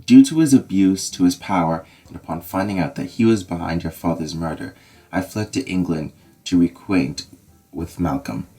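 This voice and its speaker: An actor voicing a grave Scottish nobleman with a steady, reassuring manner. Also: low-pitched, moderate pace, faint music behind. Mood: solemn. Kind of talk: fan audio drama acting.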